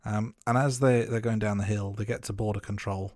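Brief: male podcast co-host, lowish voice, dry close sound, steady scene recap. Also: fairly quick, dry recording. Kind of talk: casual film review chat.

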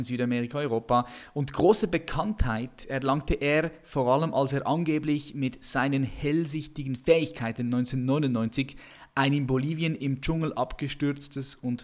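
A man with a mid-pitched voice hosts a podcast. He talks informally and with hyped enthusiasm, in a casual presenting style. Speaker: podcast host. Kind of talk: casual podcast introduction and interview.

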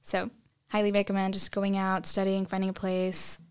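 A woman's voice: medium pitch, monotone, normal speed, smooth. Upbeat woman podcast co-host. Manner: enthusiastic, upbeat recommending. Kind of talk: casual group podcast chat.

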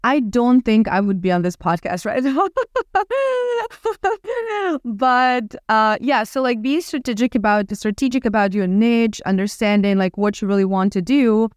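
A woman from Ukraine in her thirties with a Ukrainian accent, talking casually as a podcast guest with lively restarts and fillers. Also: mid-range voice, unhurried, smooth, no echo, studio quality. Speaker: guest entrepreneur. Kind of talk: casual interview talk.

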